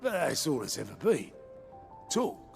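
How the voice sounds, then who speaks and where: An actor playing a sardonic underworld informant in a video game, sly and colloquial, with a British accent and gravelly voice. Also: male voice, mid-range voice, huge pitch swings, a little slow, music in the background, full character voice. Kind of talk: video game cutscene acting.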